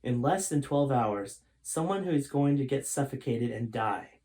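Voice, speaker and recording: Guy reading as a worried determined dad time traveller, mid pitch, earnest storybook hero. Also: animated, moderate pace, warm tone, natural voice. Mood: urgent, insistent.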